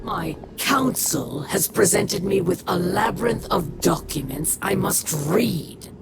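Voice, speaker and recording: A woman's voice: medium pitch, animated, slow pace, gravelly, heavy background noise. An actress playing a proud, bitter female alien warrior held captive in a video game, haughty and disdainful in every phrase. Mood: scornful. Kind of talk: video game character acting.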